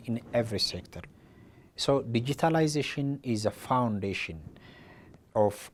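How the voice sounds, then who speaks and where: A man from Ethiopia, an education official, speaking haltingly with many repeated restarts. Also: medium pitch, darkish tone, a little hiss or hum. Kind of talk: interview statement.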